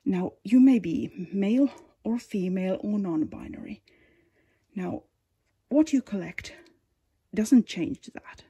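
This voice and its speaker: Husky whispery Finnish woman. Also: medium pitch, expressive, lo-fi sound. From Finland. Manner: soft, often whispery, unhurried, many ums. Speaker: collector giving a tour. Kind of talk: casual narrated collection tour. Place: indoors at display cabinets at home.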